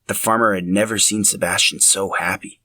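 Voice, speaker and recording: A man's voice: lowish voice, fairly even intonation, fairly quick, pushed and bright, no room sound. A man reading scripted story narration as the narrator of a podcast.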